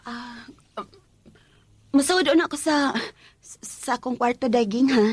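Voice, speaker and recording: An actress playing a lovesick housemaid in a radio drama, with a Visayan Filipino accent and a tearful, deferential manner. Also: female voice, fairly high pitch, measured pace, slightly rough, dry recording. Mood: hushed, furtive. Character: lovesick housemaid, a mother pining for her master. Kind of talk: radio drama acting with confessional narration.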